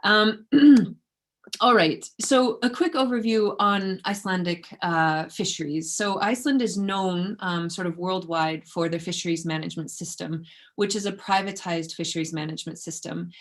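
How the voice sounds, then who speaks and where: A woman's voice: lowish voice, normal speed. A woman researcher giving an informal academic talk in a conversational, self-effacing way. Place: indoors in an office, online presentation.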